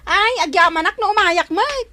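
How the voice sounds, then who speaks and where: A woman playing an excitable, friendly elder sister in a radio drama. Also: extremely high pitch, moderate pace, no echo, full character voice. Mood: delighted.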